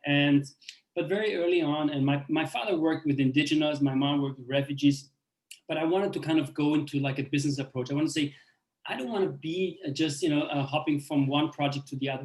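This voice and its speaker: A man in his forties who grew up in Austria, telling his own story on a panel in a halting way, with many restarts and fillers. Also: fairly high pitch. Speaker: speaker on a panel. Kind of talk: personal story in a talk. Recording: roomy echo.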